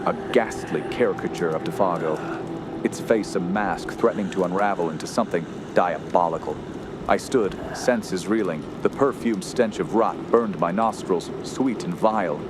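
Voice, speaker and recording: A man's voice: low voice, moderate pace, background noise, lightly acted. A man playing a haunted young nephew who narrates a horror radio play in a grave, ornate, ominous manner. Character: dread-stricken young nephew recounting a horror. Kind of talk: scripted first-person horror narration. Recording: loud music bed under voice.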